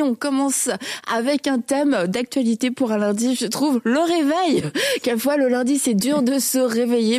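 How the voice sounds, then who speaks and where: Femme qui anime un podcast, parle en hésitant avec plein de euh, voix assez haute, son sec. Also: female voice, fairly high pitch, dry recording, clean studio sound. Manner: warm chatty hesitant, many fillers. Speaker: podcast host. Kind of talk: casual chat.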